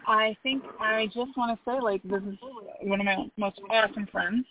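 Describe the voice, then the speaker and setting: A woman's voice: medium pitch, steady tone, normal speed, slight background noise. A woman hosting a podcast in casual, unscripted chat, informal and self-deprecating. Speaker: podcast host. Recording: tinny phone-like sound.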